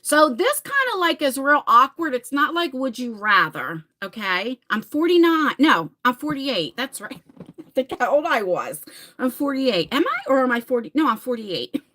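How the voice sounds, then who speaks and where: Chatty bright-voiced woman in her forties. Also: high voice, sing-song, moderate pace. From New Jersey, USA. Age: 40s. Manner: chatty, laughs often, talks straight to viewers by name. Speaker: livestream host. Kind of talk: casual live-stream chat reading viewer comments.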